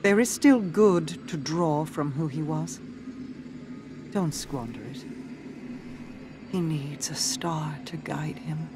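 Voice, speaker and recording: A woman playing a regal, brooding queen, speaking in a solemn, formal and measured way in a dramatic video game monologue. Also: sits low, background noise. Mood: earnest, grave. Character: regal, brooding, mysterious queen and grieving sister. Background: music.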